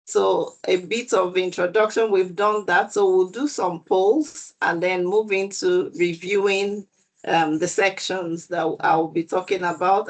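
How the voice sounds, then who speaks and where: A woman hosting an online exam prep session over a video call, welcoming people in a measured way. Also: fairly low pitch, low-quality recording.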